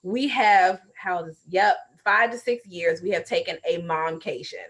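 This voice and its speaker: A woman's voice: on the low side, normal speed, fairly bright tone. A middle-aged woman chatting casually and humorously as a podcast guest, playful and emphatic in how she talks. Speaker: podcast guest, organizational coach.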